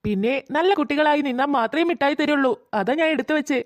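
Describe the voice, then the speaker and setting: A performer voicing a good-natured family man in a cartoon, with a pitched-up, childlike sound. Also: fast talker, no echo, natural voice. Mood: coaxing. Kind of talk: Malayalam family cartoon dialogue.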